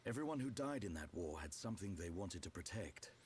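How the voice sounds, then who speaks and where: Game role: solemn wounded soldier, very low voice, measured grief, some noise behind. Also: male voice, very animated, a little mellow. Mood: solemn, resolute. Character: wounded young war veteran, stoic, solemnly grieving. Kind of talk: dramatic video game voice acting.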